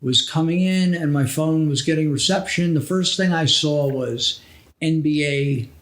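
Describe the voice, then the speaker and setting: A middle-aged man from New Jersey chats as a podcast co-host. His voice is somewhat high for a man. He tells anecdotes in a rambling way, often backtracking. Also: a little slow. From New Jersey, USA. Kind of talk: casual anecdotal chat.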